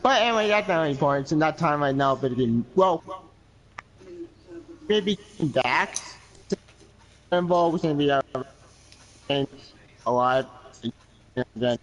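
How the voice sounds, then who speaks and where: A man's voice: fairly high pitch, expressive, measured pace, long pauses, low-quality recording. A man in a casual chat over a remote call, rambling and restarting as he thinks aloud about game lore. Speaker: podcast co-host.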